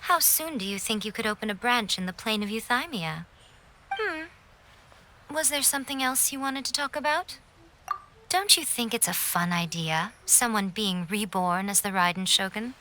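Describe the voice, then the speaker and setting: A woman's voice: mid-range voice, animated, moderate pace, bright, forceful tone. A woman voicing a sly, elegant, worldly priestess in a video game, with a teasing, poised and knowing manner. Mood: playful.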